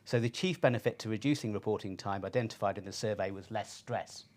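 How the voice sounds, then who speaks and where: A man presenting research findings in a semi-scripted style, measured and pausing between phrases. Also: on the low side, a little mellow.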